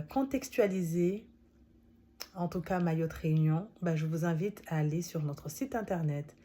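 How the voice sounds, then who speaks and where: A woman's voice: lowish voice, dark tone, slightly rough. A woman in her thirties, not a native French speaker, chatting directly to viewers as she presents a book summary. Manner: chatty, addresses viewers directly, laughs often. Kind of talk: casual talk to camera.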